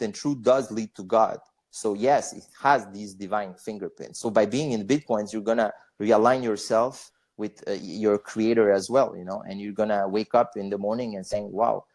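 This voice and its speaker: A man's voice: fairly low pitch, darkish tone. A young man born in Bosnia, guest on a podcast, chatting casually in a rambling way, with frequent fillers, restarts and swearing. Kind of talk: casual interview chat.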